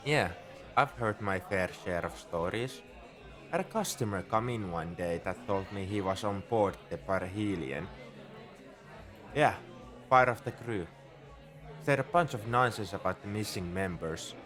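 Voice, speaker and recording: A man's voice: lowish voice, measured pace, a bit bright, faint music behind. A man playing a shrewd, easygoing bartender at a space outpost in a conversational, knowing ASMR roleplay monologue. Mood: reflective, musing. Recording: crowd chatter behind the voice. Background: bar crowd murmur.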